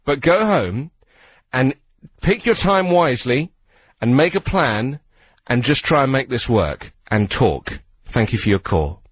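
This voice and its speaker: Measured British male radio host. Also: medium pitch, very animated, husky, dry recording. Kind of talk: conversational advice to a caller. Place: radio studio.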